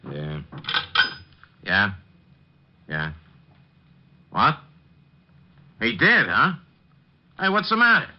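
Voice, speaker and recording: An actor playing a wary, gruff gangster henchman with blunt tough-guy talk in an old radio crime drama. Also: mid-range voice, wildly swooping pitch, very slow, pauses often, a little hiss or hum, full character voice. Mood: alarmed. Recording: muffled old radio recording with music.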